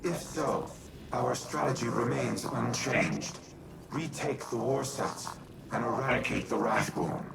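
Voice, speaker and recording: A man playing a proud military artificial intelligence in a video game, speaking in a formal, pompous, measured way. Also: mid-range voice, gravelly, over a noisy crowd, rough recording. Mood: insistent. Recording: loud music behind.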